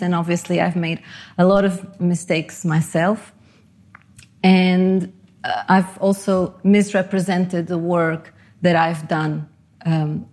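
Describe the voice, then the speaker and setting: Low-voiced woman giving a conference talk. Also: darkish tone. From Portugal and Panama. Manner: conversational, self-deprecating, with restarts. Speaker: conference speaker.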